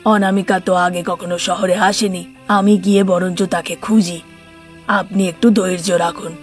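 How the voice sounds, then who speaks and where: A woman voicing a concerned middle-aged father-in-law in a cartoon, steady and reassuring. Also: lowish voice, measured pace, faint music behind, pitched below the performer's own voice, natural voice.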